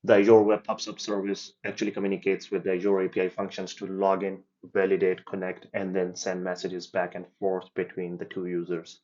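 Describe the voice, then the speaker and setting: A man explaining and demoing his software project in a casual walkthrough, hesitating with ums and restarts as he goes. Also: low voice, completely flat delivery, fairly quick, hardly any pauses, highs cut off. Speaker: developer giving a project demo. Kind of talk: casual screen-share demo walkthrough.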